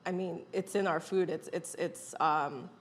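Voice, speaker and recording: A young woman, a guest chef raised in the States, chatting casually over a meal on a lapel mic, slangy and quick to laugh. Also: fairly low pitch, fairly even intonation. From United States. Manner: laid-back, slangy, laughs often. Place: indoor dining table set with food.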